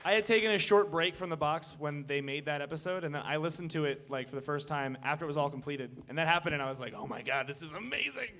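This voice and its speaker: A man's voice: a little high, expressive, fast talker, few pauses, bright, forceful tone, slight background noise. A man talking casually as a member of a team on a convention panel, into a microphone. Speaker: panelist in an audio drama team. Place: convention meeting room with an audience.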